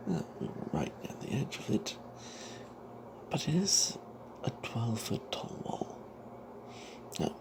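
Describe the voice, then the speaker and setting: A man narrates a fantasy adventure in a slow, breathy whisper with a gravelly edge. He halts often and leaves long pauses in an ASMR storytelling style. Clear background noise sits behind the voice. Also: medium pitch. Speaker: narrator of a tabletop fantasy tale.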